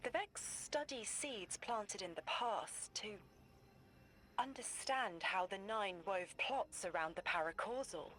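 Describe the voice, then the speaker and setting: An actress playing a cryptic female seer who speaks in slow, trance-like visions. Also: medium pitch, expressive, a bit bright. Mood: calm cryptic.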